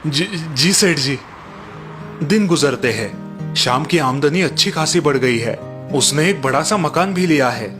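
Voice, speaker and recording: A man reading scripted storybook narration in a measured storytelling manner. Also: on the high side, expressive, over music.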